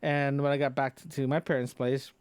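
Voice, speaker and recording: A man recording a casual, unscripted solo audio log, talking in a rambling, halting way and often rephrasing himself. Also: medium pitch, flat delivery, darkish tone, clean tone, no echo. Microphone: close microphone into a recorder, compressed.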